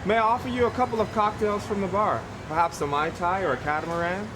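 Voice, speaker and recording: A man's voice: high-pitched, fairly even intonation, fast talker, lightly acted. A man playing a polite, attentive restaurant waiter in a training film, courteous and eager to please, recorded on a noisy location. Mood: courteous, upbeat. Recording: noisy location sound with clear background noise.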